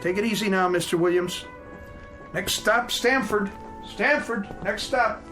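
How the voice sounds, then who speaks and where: An actor playing a folksy, easygoing American train conductor in a radio drama, speaking in clipped phrases. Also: male voice, high-pitched, unhurried, bright, forceful tone, soft background music. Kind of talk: modern radio drama acting.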